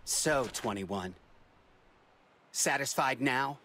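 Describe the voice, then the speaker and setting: A man's voice: medium pitch, animated, extremely slow, sharp tone, slight background noise, full character voice. An actor playing a cool, flippant young male android in a video game cutscene. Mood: cool, smug. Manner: flippant, casual.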